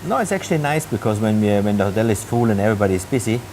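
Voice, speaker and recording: A man's voice: on the low side, big pitch swings, racing, a little mellow, a little hiss or hum, low-quality recording. A middle-aged man from Austria with an Austrian German accent, a hotel general manager answering interview questions hesitantly, with many fillers and restarts.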